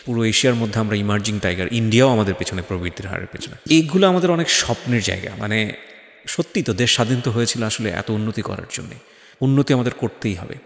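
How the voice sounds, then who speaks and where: A man's voice: on the low side, animated, quick pace, creaky. A man with a Bangladeshi accent hosting an interview, speaking in an earnest, explanatory way as he comments and questions. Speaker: talk show host. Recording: echoey, reverberant room.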